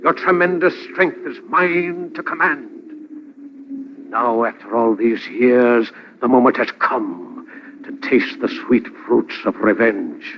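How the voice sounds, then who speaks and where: An actor playing a small, vengeful hypnotist villain in an old radio drama, giving slow, menacing commands. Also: male voice, medium pitch. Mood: menacing, commanding. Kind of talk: old-time radio acting. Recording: noisy, dull old radio recording.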